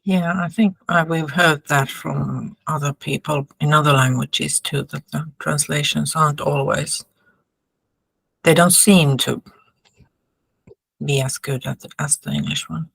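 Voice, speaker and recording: Podcast host, woman with a Finnish accent, gravelly voice, dry close sound. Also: sits low, steady tone, a little slow, a bit bright, no echo, poor audio. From Finland. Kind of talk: casual interview chat.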